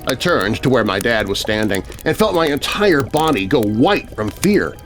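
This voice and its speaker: A man's voice: medium pitch, sing-song, moderate pace. A man narrating a scary children's story in a dramatic, scripted first-person style. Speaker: narrator of children's horror stories. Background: music bed.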